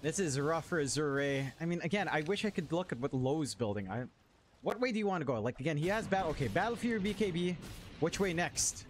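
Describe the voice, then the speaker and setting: A man's voice: a little high, expressive, fairly quick. A man working as an esports caster, mixing live game commentary with casual chat. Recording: clearly noisy stream audio.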